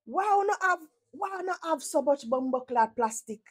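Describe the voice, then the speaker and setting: A woman's voice: sits high, expressive, moderate pace, slight background noise. A woman from Jamaica with a Jamaican accent gives sarcastic reaction commentary, blunt and full of profane patois.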